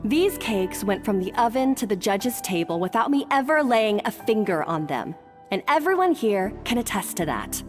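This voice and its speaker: A woman's voice: on the high side, long reverb tail. A woman playing a smug, scheming rival chef in a video game, with a taunting, haughty manner.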